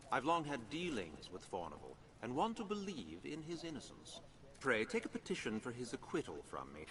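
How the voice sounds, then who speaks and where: A man's voice: medium pitch, fairly bright tone, slight background noise. An actor playing a courteous, persuasive male merchant in a fantasy video game, with archaic phrasing.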